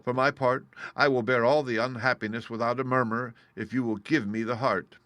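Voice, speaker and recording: A man's voice: medium pitch, fairly even intonation, a little fast, a bit bright, no echo, natural voice. A man voicing a tin woodman who speaks earnestly and resolutely about wanting a heart.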